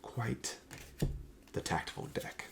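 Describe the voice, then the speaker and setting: A man speaking softly in an ASMR shop-clerk roleplay, often dropping to a breathy whisper, with a gentle, explanatory sales manner. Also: takes it slowly, background noise. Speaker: host playing a card shop clerk in a roleplay. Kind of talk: soft-spoken ASMR roleplay.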